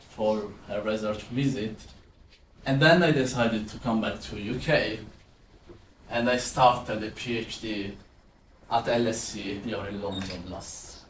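A man in his twenties from southern Italy, with an Italian accent, presenting as a maths teacher explaining to a class. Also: medium pitch. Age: 20s. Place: indoor classroom with whiteboard and screen. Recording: roomy echo, distant, some background noise.

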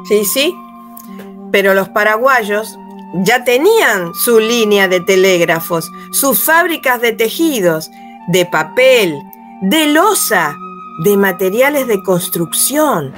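A woman narrating a radio drama in a measured, explanatory way. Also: medium pitch, expressive, fairly bright tone, faint music behind.

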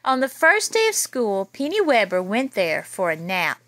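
Bright-voiced woman reading a storybook aloud. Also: mid-range voice, normal speed, no room sound. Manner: lively storytelling with playful asides. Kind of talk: children's picture book read-aloud. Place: child's bedroom, small, indoors. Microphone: phone camera held nearby.

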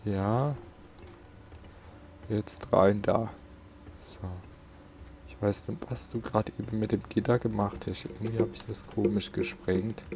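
Male let's player: low gravelly voice, casual grumbling, game noise behind. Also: fairly even intonation, unhurried, long pauses, a little mellow. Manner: grumbling, talks to himself. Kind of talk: casual gameplay commentary. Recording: game sounds and ambience behind.

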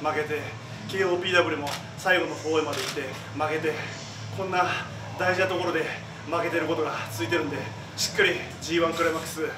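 A man in his thirties, a pro wrestler, calmly reflecting on a loss in post-match comments, full of fillers. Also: fairly high pitch, fast. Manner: calm, reflective, loose with fillers. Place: backstage press area in front of a sponsor backdrop. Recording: echoey room, noisy, far from mic.

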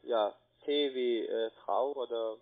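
Halting non-native man on tinny phone. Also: medium pitch. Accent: foreign accent in German. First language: not German. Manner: halting, broken grammar, evasive. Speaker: call centre agent. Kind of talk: halting phone sales talk. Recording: narrow tinny phone line.

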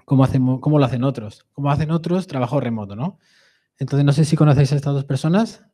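Madrid-accented man giving a mellow talk. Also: mid-range voice, fast talker. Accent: Madrid Spanish. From Madrid, Spain. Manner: conversational, often adds a questioning "¿no?". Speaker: conference speaker. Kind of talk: live presentation talk.

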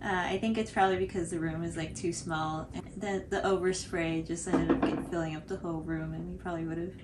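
Young woman, lowish pitch, casual tutorial host, noticeable background noise. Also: fairly even intonation, a little fast. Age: 20s. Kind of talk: casual unscripted tutorial commentary. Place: indoor living room, seated on couch.

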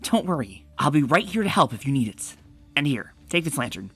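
A woman voicing a bold, confident female adventurer pony in a comic dub, talking briskly and commandingly. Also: low-pitched, big pitch swings, over loud music, voice pushed down. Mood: secretive.

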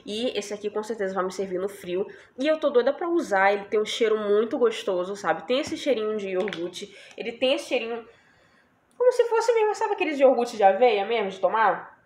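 A woman's voice: medium pitch, animated, a little fast, a little mellow. A young woman chatting casually about products she bought, asking her viewers for agreement every few lines. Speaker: beauty vlogger. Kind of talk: casual product haul chat.

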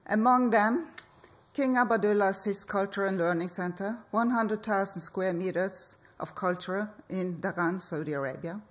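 Woman, architect at a conference podium, slow deliberate phrasing, mid pitch. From Norway. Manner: slow, measured delivery with deliberate pauses. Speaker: architect and project leader. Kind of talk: conference presentation. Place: conference hall stage.

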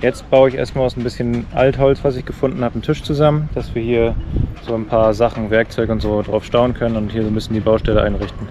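A man's voice: medium pitch, fast, noisy background. A man in his thirties talking casually to an action camera he holds at arm's length, showing his sailboat after months away. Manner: relaxed, chatty, thinking aloud. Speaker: sailing vlogger. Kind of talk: casual vlog narration. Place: inside a sailboat cabin, later outdoors at a boatyard. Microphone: action camera held at arm's length. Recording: background music throughout.

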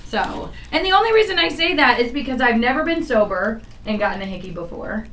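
A woman's voice: fairly high pitch, expressive, a little fast, a bit bright. An American woman co-hosting a morning radio show, chatty and giggly in casual on-air banter. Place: small radio studio. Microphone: studio mic picked up by a camera at a distance. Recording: roomy, distant camera audio with noise.

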